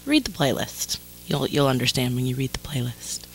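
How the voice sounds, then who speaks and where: Very low, hoarse woman radio DJ. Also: very animated, normal speed. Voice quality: scratchy. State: hoarse, stuffed up from a cold. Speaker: radio DJ host. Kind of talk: casual radio show hosting.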